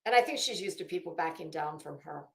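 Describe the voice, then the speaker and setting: A woman ranting casually as an online host, blunt and sarcastic, swearing freely and halting to stretch words for emphasis. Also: deep voice, animated, vocal fry, fairly bright tone. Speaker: YouTube host. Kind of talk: casual livestream rant. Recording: echoey room.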